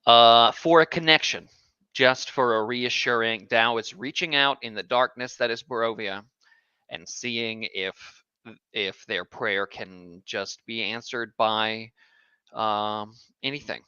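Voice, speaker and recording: A man's voice: mid-range voice, slow pace, sharp tone, clear, resonant tone, no room sound, highs cut off. A man playing a cleric in a tabletop game, speaking in a hesitant, searching way with many fillers. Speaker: player.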